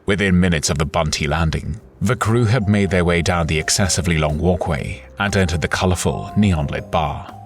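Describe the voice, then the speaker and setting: Very deep-voiced man narrating over prominent music. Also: normal speed. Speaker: narrator and voice performer of an audio story. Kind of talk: dramatic scripted narration.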